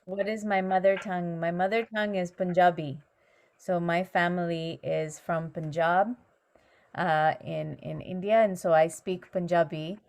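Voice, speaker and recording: A woman's voice: fairly low pitch, fairly even intonation, measured pace, a little mellow. A woman, a teacher from Canada, patiently answering students' questions step by step on a video call. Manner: patient, explains things step by step.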